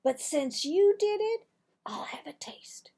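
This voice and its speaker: Woman acting an eager, high-voiced little boy. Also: lively intonation, slow, gravelly, warm tone, exaggerated voice. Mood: excited, accusing. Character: eager, mischievous little boy in a children's storybook.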